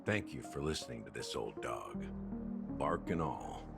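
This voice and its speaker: Weary, guilt-ridden game officer, low-pitched, rueful and self-deprecating, prominent music underneath. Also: male voice, animated, unhurried, creaky, full character voice. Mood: resigned, brooding. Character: weary, world-worn male officer haunted by guilt and regret. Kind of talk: video game story dialogue. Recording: music behind the voice.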